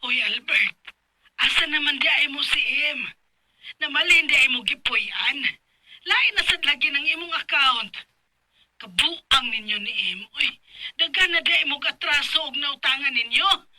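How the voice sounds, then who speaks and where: An actress playing an indignant, sharp-tongued mother in a radio drama, scolding with a Cebuano Filipino accent. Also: sits high, animated, a little slow, background noise. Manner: scolding, exclamatory.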